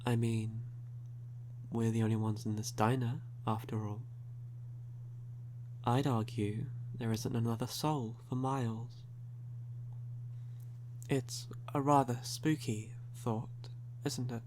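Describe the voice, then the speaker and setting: A man performs a sly, flirtatious male vampire in an ASMR audio roleplay. His mid-pitched speech is very unhurried, courtly and teasing, with formal phrasing. A noticeable hum sits under the recording. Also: fairly even intonation, a little mellow, natural voice. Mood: sly, ominous.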